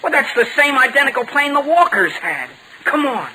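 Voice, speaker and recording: A man playing an earnest, excitable teenage boy with an American accent and a stammering delivery, in 1940s radio comedy style. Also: extremely high pitch, moderate pace. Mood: eager, boastful. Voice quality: youthful. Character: earnest, flustered teenage boy, bumbling schemer. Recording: noisy vintage 1940 radio recording.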